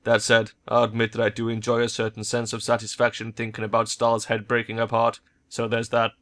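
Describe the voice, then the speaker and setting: A man voicing a cold, guarded cyborg woman in a cyberpunk game, in a cool, detached monotone. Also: mid-range voice, a little fast, natural voice.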